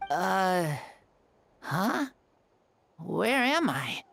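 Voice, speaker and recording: A woman's voice: fairly low pitch, big pitch swings, extremely slow, creaky, a bit bright, slight background noise, heavily acted. A woman voicing an earnest, frail young boy in a video game, hesitant and innocent. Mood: dazed, confused. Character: frail, earnest young boy villager, wide-eyed and hopeful.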